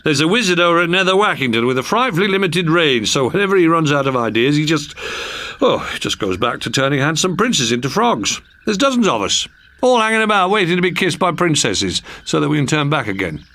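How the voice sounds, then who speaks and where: A man's voice: fairly high pitch, sing-song, fairly quick, bright, forceful tone, voice pushed up, exaggerated voice. A man voicing a conceited, jaunty frog prince with a posh, boastful manner for a storybook.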